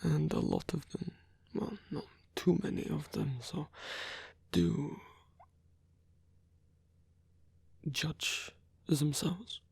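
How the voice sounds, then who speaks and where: A man playing a lonely, courteous old vampire in an intimate ASMR roleplay, speaking in a breathy, hesitant, softly formal way. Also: on the high side, takes it slowly, no echo, heavily acted. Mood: reassuring, conflicted. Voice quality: breathy, husky, gravelly whisper.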